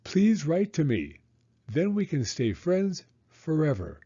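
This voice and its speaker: A grumpy young storybook boy, acted out by a male reader, pitched well up. He pouts and stretches his words in a whiny, sulky way, easily upset, with very lively swoops. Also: slow, slightly rough, no echo, lo-fi sound, full character voice. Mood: hopeful. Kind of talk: children's storybook read-aloud.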